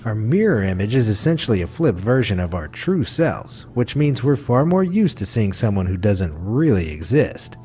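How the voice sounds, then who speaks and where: A man reading a scripted facts video as narrator, with a smooth, wry delivery. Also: lowish voice, sing-song, moderate pace, few pauses, warm tone, faint music behind.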